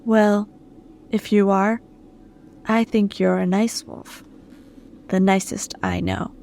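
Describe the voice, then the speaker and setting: An actress playing a sweet, innocent, trusting young woman in old-time radio suspense style, with a gentle, soft manner. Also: medium pitch, steady tone, takes it slowly, creaky, slight background noise. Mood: warm, trusting.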